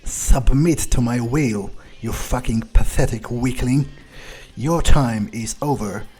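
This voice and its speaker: A man's voice: mid-range voice, slow pace, warm tone, lightly acted. A man playing an arrogant, power-drunk vampire in an improvised in-character game monologue, sneering, profane and domineering. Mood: menacing, domineering.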